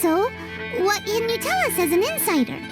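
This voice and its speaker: An actress voicing a tiny childlike fairy companion in a video game, squeaky, excitable, bossy and cutesy. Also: female voice, normal speed. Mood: eager, curious. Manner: exclamatory, cutesy, indignant. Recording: loud music under the voice. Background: game background music.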